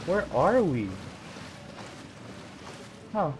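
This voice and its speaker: A woman's voice: fairly low pitch, very animated, drawn-out words. A woman streamer giving casual commentary as she plays a video game. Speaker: gaming streamer. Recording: noisy, with background ambience.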